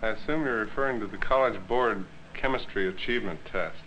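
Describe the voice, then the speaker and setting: A man's voice: on the low side, brisk, pushed and bright. A man giving a formal, prepared answer from notes as a meeting panelist. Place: meeting room. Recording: faintly noisy old film soundtrack.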